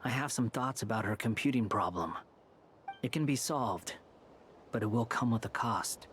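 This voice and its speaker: An actor playing an arrogant, sardonic young puppet scholar in a video game, with a dry, condescending manner. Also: male voice, medium pitch, normal speed, fairly bright tone, big-room sound, slight background noise, lightly acted. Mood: guarded, serious.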